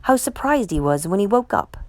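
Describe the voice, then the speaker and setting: A woman reading a children's picture book aloud with measured, emphatic storyteller phrasing. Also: mid-range voice, very animated, a little mellow, dry recording. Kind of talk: expressive children's read-aloud narration.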